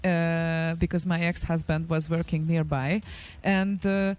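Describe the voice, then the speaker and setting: A woman's voice: sits low, little pitch movement, measured pace, a little mellow, smooth. A woman hosting a radio show in casual chat, speaking English as a second language and laughing often. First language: not English. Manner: laughs often, non-native phrasing.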